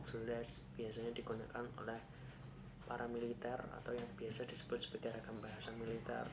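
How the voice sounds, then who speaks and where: Flat-voiced man giving a lecture. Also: medium pitch. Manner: measured, listing points one by one. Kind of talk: scripted lecture narration. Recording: audible background noise.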